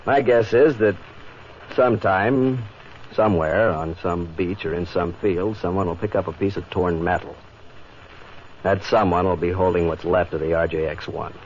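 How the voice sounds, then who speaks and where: An actor playing a blunt, resigned civilian official in a 1950s radio drama, arguing in a measured, matter-of-fact way. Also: male voice, low voice, natural voice. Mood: grim. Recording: dull, hissy 1950 radio recording.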